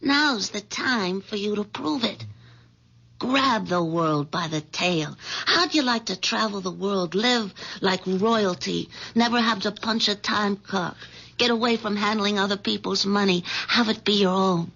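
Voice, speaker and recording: Scheming temptress, American, assured coaxing delivery, mid-pitched, 1970s radio drama, muffled lo-fi recording. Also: female voice, measured pace, gravelly, fairly bright tone. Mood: coaxing, goading. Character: scheming, seductive, domineering femme fatale.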